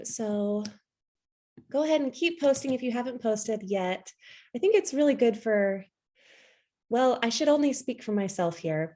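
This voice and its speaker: A woman in her thirties leading a poetry workshop on a video call through earbuds, speaking gently and encouragingly with frequent ums and restarts. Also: mid-range voice. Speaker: workshop facilitator. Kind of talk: online workshop teaching. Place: home room with bookshelves. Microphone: earbud headset on a video call.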